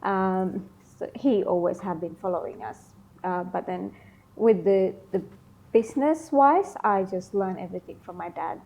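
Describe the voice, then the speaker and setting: A woman's voice: medium pitch, unhurried, a little mellow, rough recording. Sri lankan-born woman, 30s, chatty but hesitant, lots of ums in an interview. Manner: hesitant, many ums and likes. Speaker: interview guest, beauty business founder. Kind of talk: casual interview answers.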